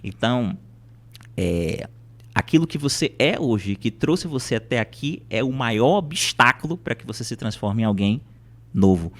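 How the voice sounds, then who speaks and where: A man's voice: medium pitch, expressive, unhurried, a bit bright. A Brazilian man speaking as a podcast guest, explaining things in a conversational, motivational way with rhetorical questions and emphatic repetition.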